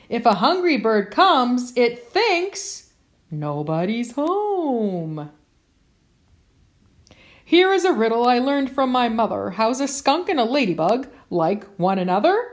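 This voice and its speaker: A woman with a high voice reads a picture book aloud to children. Her delivery is theatrical and playful, with lively swings in pitch. She takes her time and leans on key words. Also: slow.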